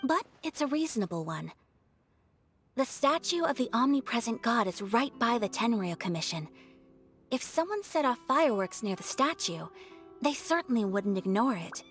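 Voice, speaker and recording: An actress playing a calm, thoughtful young noblewoman who speaks in a measured, formal way. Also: on the high side, soft background music. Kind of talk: game cutscene dialogue.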